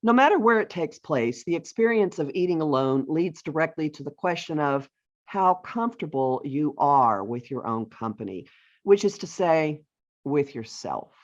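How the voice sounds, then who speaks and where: A middle-aged or older woman reading her own humorous essay aloud with deliberate phrasing and wry emphasis, as an author on a video call. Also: low voice, expressive. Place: virtual author talk over video call. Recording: dull, lo-fi online call audio.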